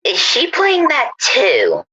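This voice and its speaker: A woman's voice: fairly high pitch, huge pitch swings, slow, pushed and bright, slightly rough, no room sound, muffled recording, heavily acted. A woman playing a breezy, gossipy housewife chatting with a friend in a radio play. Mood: breezy, chatty.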